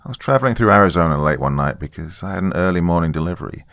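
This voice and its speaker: Very deep-voiced man narrating a story. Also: animated, very fast, creaky, a bit bright, smooth, no echo. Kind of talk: dramatic first-person scripted narration.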